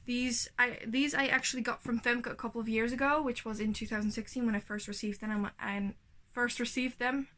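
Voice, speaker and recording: A woman's voice: on the high side, steady tone, brisk, a bit bright. A woman, giving a gushing, chatty reading update at home. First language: Dutch. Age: 20s. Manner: chatty, gushing, self-correcting. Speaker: book vlogger. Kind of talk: casual reading vlog update.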